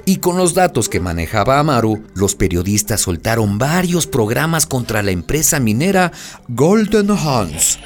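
A man's voice: medium pitch, big pitch swings, moderate pace. A man narrating and acting a radio host in a radio drama, explaining in a persuasive way.

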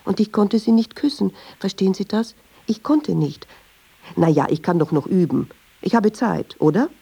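A woman's voice: mid-range voice, animated, moderate pace, gravelly, warm tone, husky. An actress playing a candid, uneasy middle-aged woman in a radio drama, speaking reflectively in short, observant clauses. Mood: reflective, insistent. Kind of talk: radio drama dialogue and inner monologue.

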